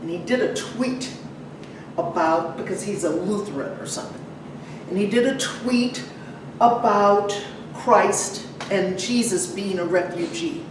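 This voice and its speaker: A woman preaching a sermon to a congregation in a conversational way, putting questions to her listeners and pausing for emphasis. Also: medium pitch. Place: large echoey church room. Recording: very echoey, distant mic, some noise.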